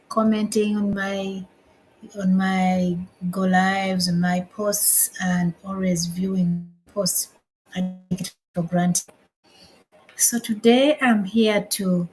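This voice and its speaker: Woman in her 50s, from Uganda, live-stream host; slow, halting, repeats herself; light background hiss. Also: mid-range voice, a little mellow, poor audio. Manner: unhurried, repeats phrases, halting restarts. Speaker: livestream host. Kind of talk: casual live-stream talk.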